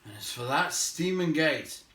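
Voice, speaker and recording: A man's voice: a little high, expressive, unhurried, sharp tone, voice pushed up. A small, put-upon storybook hobbit played by a man frets and grumbles in a raised voice. The delivery is plaintive and self-pitying, full of exclamation. It is heard in an echoing room, away from the microphone. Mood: anxious, dismayed. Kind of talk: storybook character voice. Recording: roomy echo, distant microphone.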